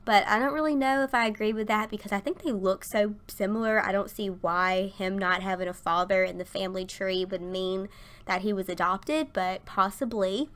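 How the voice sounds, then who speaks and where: A young woman chatting casually through a game voice-over, her voice a little raspy from a sinus infection. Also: mid-range voice, normal speed, a bit bright. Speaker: gaming YouTuber.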